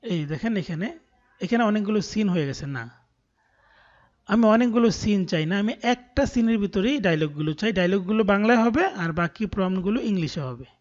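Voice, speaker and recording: Fast, high-pitched Bangladeshi man tutoring. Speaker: online course tutor. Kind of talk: screen-recorded tutorial walkthrough. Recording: dull, muffled lo-fi voice recording.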